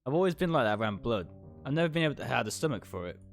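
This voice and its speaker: A man's voice: medium pitch, very animated, racing. A man livestreaming a dramatic first-person read-aloud of a visual novel, stumbling over words now and then and correcting himself. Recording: music behind the voice.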